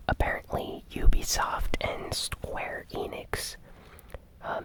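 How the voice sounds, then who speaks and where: Slow, whispering ASMR gaming news host. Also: poor audio. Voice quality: breathy unvoiced whisper. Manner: hushed, unhurried, informal explaining.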